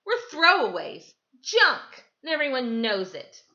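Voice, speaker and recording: Storybook schoolboy, read by a woman: outspoken, earnest, bright voice with swooping pitch. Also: huge pitch swings, unhurried, muffled recording, exaggerated voice. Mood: upset, insistent. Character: earnest, outspoken junior schoolboy with quirky eagerness.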